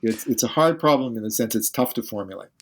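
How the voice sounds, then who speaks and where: Man, podcast guest, physicist, thinks aloud, careful explainer, average pitch. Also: animated, fast talker. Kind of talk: podcast interview.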